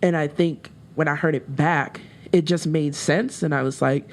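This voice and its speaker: A woman with a deeper, lowish voice speaks openly and thoughtfully as an interview guest. She talks in a relaxed, candid way, thinking aloud with plenty of fillers. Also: moderate pace. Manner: candid, reflective, with laughs and many fillers. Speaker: rapper, guest. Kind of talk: casual interview chat.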